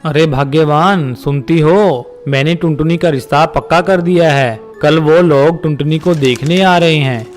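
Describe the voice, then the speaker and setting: A man's voice: fairly high pitch, measured pace, soft background music, natural voice. A man voicing a humble middle-aged father pigeon in a moral-story cartoon, mild and earnest. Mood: pleased.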